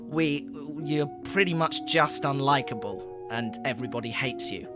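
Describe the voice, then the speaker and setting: Guy doing a blunt, offhand tv boss, just tells it flat. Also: mid-range voice, normal speed, music in the background, close to an everyday voice. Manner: blunt and matter-of-fact.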